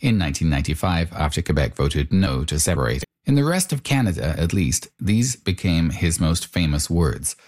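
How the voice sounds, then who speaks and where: A man narrating a radio report in a measured, explanatory way and asking interview questions. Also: sits low, big pitch swings, darkish tone.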